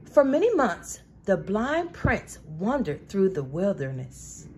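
A woman storyteller reading a children's storybook aloud with dramatic, deliberate phrasing. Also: medium pitch, wildly swooping pitch, a little mellow, slight background noise.

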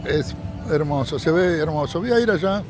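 A man's voice: on the high side, animated, normal speed. A man walking a beach as a travel vlogger, talking upbeat to his viewers and recommending places. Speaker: vlogger and tour guide. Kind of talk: casual walking travel vlog. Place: sunny beach outdoors. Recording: outdoor beach noise in background.

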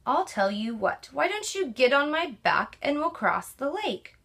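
Woman doing a sneaky sweet-talking fox, high and bright, all fake concern. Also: sing-song, measured pace, heavily acted. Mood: coaxing. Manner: coaxing, falsely sweet. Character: sly, crafty fox, smooth-talking.

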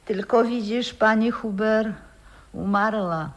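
A gentle old grandmother of the radio play. She speaks slowly in a fairly high voice, reflective and nostalgic, bearing things stoically. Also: rough recording. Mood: resigned.

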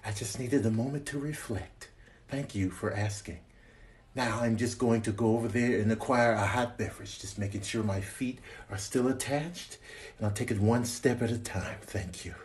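Stiffly polite male, acted by a man, formal, careful, measured, composed but shaken, comic voiceover. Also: medium pitch, darkish tone, lightly acted.

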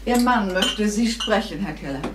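An actress playing a caring, sympathetic hostess in a radio crime play. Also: female voice, medium pitch, lively intonation, moderate pace, lightly acted. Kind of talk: German radio crime drama acting. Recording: echoey room, loud noise.

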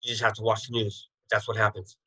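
A man's voice: fairly low pitch, level tone, fast talker, sharp tone, slightly rough, dry recording, poor audio. A man in his thirties, a guest on a video call, bluntly recounting his past in plain street slang. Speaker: interview guest. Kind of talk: telling his life story.

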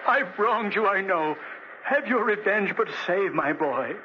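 Through a noisy, muffled old radio recording comes an old father in anguish. His voice is high and slow, pleading desperately with full melodrama. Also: lively intonation. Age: older. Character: desperate, pleading old father, wronged-doing patriarch brought low. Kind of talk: 1940s radio drama acting.

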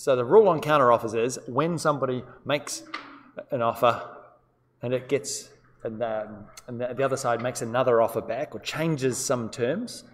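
A man with a New Zealand accent leads a law seminar in an easygoing, conversational way, often turning questions back to the room. His voice sits at an ordinary middle pitch. It is caught by a distant microphone and carries the long reverb of a lecture hall. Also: slight background noise. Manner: casual, chatty, asks students questions. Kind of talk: live-streamed seminar teaching.

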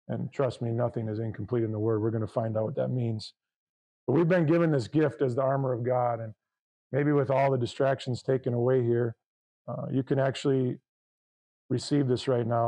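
A man delivers a sermon with a loud voice and fervent conviction. His tone is warm and mellow, and he reads scripture aloud to his congregation. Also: mid-range voice, poor audio. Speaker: pastor.

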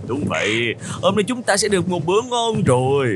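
A man voicing a bloodthirsty, gloating cartoon vulture with a menacing, drawn-out, relishing delivery. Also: high voice, very animated, over music. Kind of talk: cartoon villain acting.

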